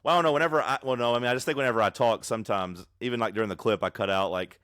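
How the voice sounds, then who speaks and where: Loud, teasing man on a podcast. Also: mid-range voice, racing, clear, resonant tone, no echo, clean studio sound. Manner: loud, teasing, jumps in with bits. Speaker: podcast co-host. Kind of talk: casual banter. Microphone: own microphone, recorded remotely in an app.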